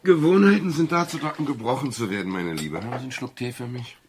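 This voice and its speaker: An actor playing a wry, courteous private detective who narrates a 1970s radio crime drama. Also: male voice, mid-range voice, quick pace, noisy background, lightly acted. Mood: wry, teasing. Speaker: detective narrator and lead character.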